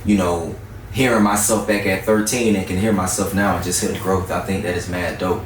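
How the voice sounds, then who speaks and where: Low-voiced man chatting in an echoey room. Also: steady tone, fairly quick. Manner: slangy, conversational storytelling with frequent check-ins. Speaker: guest R&B singer. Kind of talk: casual interview chat. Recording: echoey room, distant mic, faint noise.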